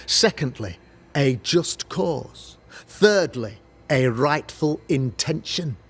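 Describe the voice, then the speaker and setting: An actor playing a pompous, self-righteous colonial governor and preacher, speaking in formal, oratorical period language in a video game. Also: male voice, a little high, animated, slow pace, fairly bright tone, slightly rough, full character voice. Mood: righteous, forceful. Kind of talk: theatrical period drama sermonizing.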